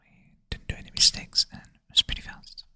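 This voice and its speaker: ASMR whisperer, sleepy and self-deprecating, bright airy close-mic whisper. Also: brisk. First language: Swedish. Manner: soft whisper, thinking aloud, self-deprecating. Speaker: ASMR creator. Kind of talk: whispered ASMR puzzle play-through.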